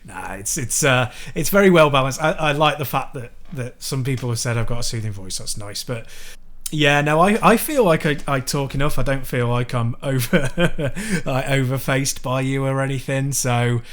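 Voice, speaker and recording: Chill english dude on a podcast, soothing calm voice, dry jokes. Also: male voice, mid-range voice, normal speed. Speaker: podcast co-host. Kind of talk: casual banter.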